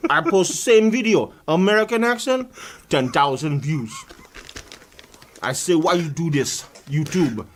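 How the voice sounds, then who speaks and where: A man's voice: on the high side, animated, slow pace, pauses often. A man putting on a Jamaican accent as a playful stoner comedian, protesting in mock earnest with patois-style broken grammar. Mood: aggrieved. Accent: put-on Jamaican. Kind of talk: comic in-character interview.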